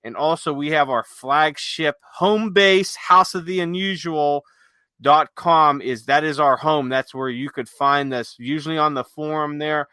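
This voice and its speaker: A man hosts a casual, unscripted podcast in a rambling, chatty way. His voice sits a little high and is sharp and bright, recorded close and dry. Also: a little slow, clean tone, dry recording. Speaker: podcast host.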